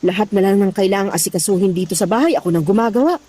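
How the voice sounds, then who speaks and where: A woman's voice: medium pitch, very fast, mellow, close to an everyday voice. A woman playing an exasperated middle-aged Filipino mother in a radio drama, scolding and grumbling about household chores. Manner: scolding, sighing, muttering to herself. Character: exasperated middle-aged mother nagging her son's lazy girlfriend.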